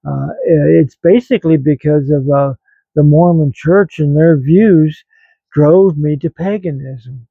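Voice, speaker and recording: A man in his seventies with an American accent, talking reflectively and haltingly to online viewers in a rambling personal vlog. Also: a little high, gravelly, slightly rough, no echo, narrow, tinny audio. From grew up in West Virginia, United States. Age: 70s. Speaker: vlog host.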